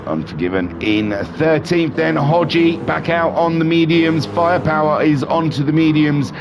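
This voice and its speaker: Man, nasal from a head cold, fairly high voice, excitable race caller, noisy background. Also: moderate pace. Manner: excitable, jokey, rambling asides. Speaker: race commentator. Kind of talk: live sim racing commentary.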